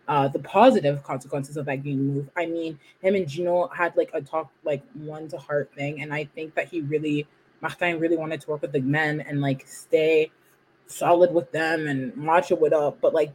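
A woman whose first language is French, co-hosting a casual podcast chat in a playful, animated way, acting out quotes. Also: deep voice, slight background noise, low-quality recording. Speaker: podcast co-host.

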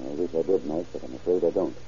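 A brisk sheriff, deep and really dark voice, muffled old radio. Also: male voice, slight background noise. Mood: businesslike. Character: brisk, businesslike male small-town sheriff. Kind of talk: old-time radio drama acting.

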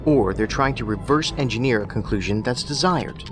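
A man acting as an eccentric male investigator in a science fiction audio drama. He is analytical and wordy, with dry whimsy, in a mid-pitched voice. Music plays clearly behind him. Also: moderate pace, close to an everyday voice. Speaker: federal agent, profiler.

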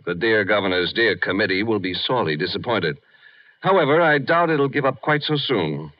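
An actor playing a suave, commanding crime boss in a 1950s radio drama, speaking with dry, precise sarcasm. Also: male voice, on the low side, animated, normal speed, lightly acted. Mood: commanding, sardonic. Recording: dull vintage radio broadcast recording.

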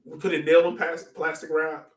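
A man's voice: fairly high pitch, flat delivery, fairly quick. A man co-hosting a sports podcast, chatting casually about soccer in an informal, joking way. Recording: roomy echo, distant from mic.

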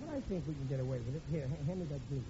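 An actor playing a bumbling, wheedling middle-aged working-class husband in a radio comedy, stammering and blustering. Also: male voice, fairly high pitch, rapid-fire, close to an everyday voice. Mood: conspiring. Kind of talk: old-time radio situation comedy acting. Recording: dull, noisy vintage radio recording.

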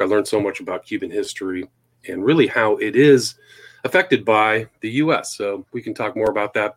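A man with a fairly low voice. He speaks as the host of an interview show, presenting and questioning in a conversational way. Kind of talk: hosting an interview, introducing a guest.

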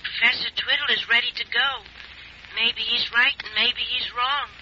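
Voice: medium pitch, steady tone, moderate pace, sharp tone. An actor voicing a whimsical, eager little spaceman who speaks in sing-song rhyme in a 1940s radio serial. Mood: chirpy, eager. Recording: old muffled noisy radio recording.

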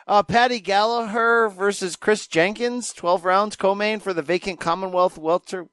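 High-voiced man rattling off fight cards. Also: steady tone, normal speed, fairly bright tone, smooth, dry recording. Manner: rattles off fight listings with wry asides. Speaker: podcast host. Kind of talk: casual chat.

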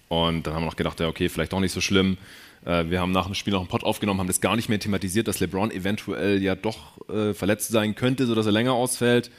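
A man hosting a live sports podcast, chatting in a colloquial, jokey way. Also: sits low. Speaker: podcast host. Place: live stage show.

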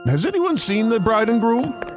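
A man's voice: very high voice, sing-song, normal speed. A man playing a solemn but exasperated wedding officiant in a comic advert. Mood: solemn, theatrical. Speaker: advert actor. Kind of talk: comic radio advert acting. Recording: music bed behind advert voice.

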